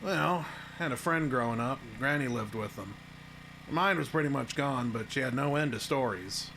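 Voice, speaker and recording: A skeptical small-town handyman for an audio drama. His medium-pitched voice is colloquial and matter-of-fact, a reluctant teller of old tales. There is slight noise in the background. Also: normal speed, gravelly, fairly bright tone, rough recording. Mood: folksy storytelling.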